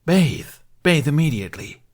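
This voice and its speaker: A man's voice: a little high, sing-song, normal speed, darkish tone, pitched above the performer's own voice. A man voicing a bossy, impatient male dragon in an audiobook, fussily scolding his human companion. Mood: agitated, demanding. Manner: imperious, fussy, impatient.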